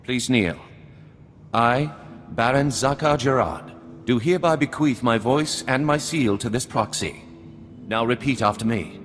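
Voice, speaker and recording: A man playing a formal, polished, calculating baron in a video game cutscene, speaking in a measured way. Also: medium pitch, fairly bright tone, close to an everyday voice. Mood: formal, businesslike. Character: courteous, composed male nobleman baron, formal and calculating. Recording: long reverb, music behind.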